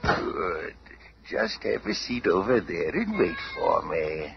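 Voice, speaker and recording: A megalomaniac puppet-master villain in a radio drama, low-voiced and slow, savoring each menacing command. He is a domineering stepfather type, theatrical throughout, heard on a noisy old broadcast. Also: sing-song, gravelly, highs cut off, heavily acted. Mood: sinister scheming.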